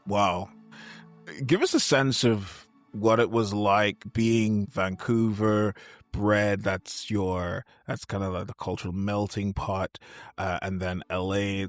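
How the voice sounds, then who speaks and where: A man hosting an interview, asking questions and giving short encouraging responses. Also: low voice. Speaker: host, interviewer.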